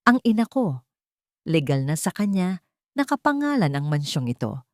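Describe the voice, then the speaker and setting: A woman's voice: sits low, sing-song, moderate pace, dry recording, clean studio sound. A woman with a Filipino accent voicing a tense, commanding rich businessman in a story, formal and grave. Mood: tense, warning. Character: tense, commanding wealthy businessman and new father.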